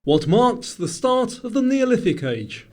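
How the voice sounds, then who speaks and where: A man hosting a quiz, reading scripted questions and instructions to the player in a clearly enunciated presenter's delivery, with music behind him. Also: very high-pitched, sing-song, measured pace, a little mellow. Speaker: quiz show host. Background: background music.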